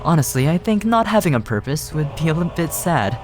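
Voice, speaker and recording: A man's voice: fairly high pitch, very animated, brisk. A man giving casual, reactive commentary on a video game he is playing. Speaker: gaming streamer. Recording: game music behind the voice. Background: video game music.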